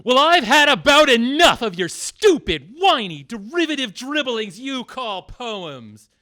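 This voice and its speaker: Man playing an indignant, high-voiced thespian. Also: big pitch swings, measured pace, pushed and bright, heavily acted. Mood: outraged. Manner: declamatory, indignant. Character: exasperated, indignant actor who recites poems, grandly melodramatic. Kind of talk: comedic radio play acting.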